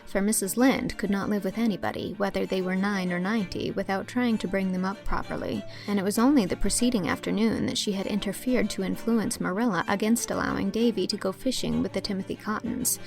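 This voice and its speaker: Creaky-voiced woman narrating a storybook. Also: medium pitch, steady tone, brisk, hardly any pauses, darkish tone, faint music behind. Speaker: audiobook narrator. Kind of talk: scripted dramatic storybook narration.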